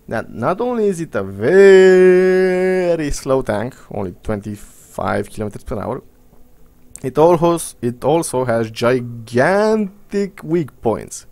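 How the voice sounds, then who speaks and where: A young Romanian man talks through a video game in English, his accent clear in every line. His commentary is loose and rambling, with blunt verdicts, a dry sarcastic streak and casual swearing. His voice sits at an ordinary middle pitch for a man. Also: darkish tone. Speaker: gaming commentator.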